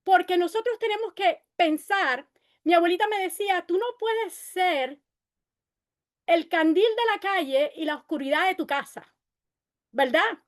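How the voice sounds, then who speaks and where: A woman's voice: very high-pitched, moderate pace. A woman in her fifties running for city council, giving an impassioned campaign speech at a meeting, moving between Spanish and English and repeating her calls for unity. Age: 50s. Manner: impassioned, rallying, repeats phrases.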